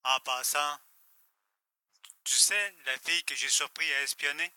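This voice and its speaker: Man playing deferential henchman, very bright voice. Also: a little high, fairly even intonation, unhurried. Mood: uneasy. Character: male henchman, questioning and deferential accomplice. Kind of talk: audio drama acting.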